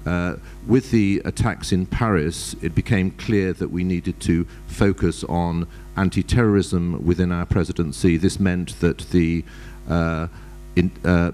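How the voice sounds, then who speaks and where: Low-voiced man giving a halting panel talk. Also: a little mellow. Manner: measured, many hesitations. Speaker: panelist, ambassador. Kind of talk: formal conference talk.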